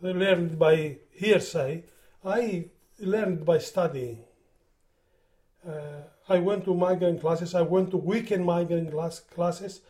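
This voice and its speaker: A man's voice: sits high, slow, warm tone. An older man from Greece with a Greek accent, speaking English as a second language, recalling his working life in an interview, often repeating words as he searches for them. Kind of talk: oral history interview.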